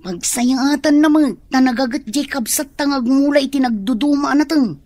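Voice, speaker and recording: A woman's voice: high-pitched, moderate pace, warm tone, no room sound, close to an everyday voice. An actress playing a carefree young female student in a radio drama, chatty and teasing.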